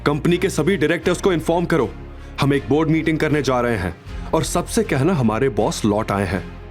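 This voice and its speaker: A man voicing a flustered, eager company director in his fifties, breathless and excitable, in an audio story. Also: a little high, normal speed, soft background music, pitched above the performer's own voice, close to an everyday voice. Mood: urgent. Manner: breathless, deferential to his boss, snappish with staff. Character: flustered eager fifty-year-old male company director.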